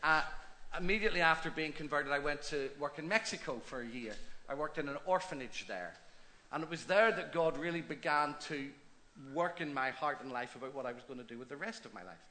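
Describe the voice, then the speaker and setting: Middle-aged Northern Irish pastor, bright. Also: male voice, a little high, brisk. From Northern Ireland. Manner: chatty, self-deprecating, animated. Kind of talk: stage interview and preaching. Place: church hall stage.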